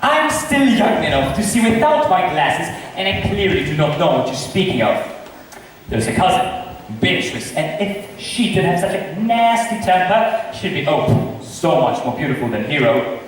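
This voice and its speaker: A man playing a high-pitched, mocking theatrical bachelor. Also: big pitch swings, normal speed. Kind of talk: amateur school stage acting of modernized Shakespeare comedy. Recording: echoey hall, distant mic, noisy.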